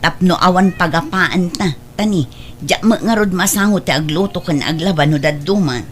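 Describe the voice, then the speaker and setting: A woman's voice: lowish voice, normal speed, close to an everyday voice. A woman playing a defensive, exasperated wife and indulgent mother in an audio drama, speaking in a complaining manner.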